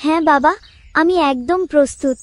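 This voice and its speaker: A woman's voice: sits high, moderate pace, close to an everyday voice. A woman voicing an innocent, timid little schoolgirl in a cartoon story, childlike and earnest. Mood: obedient. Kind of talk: Bengali cartoon story acting.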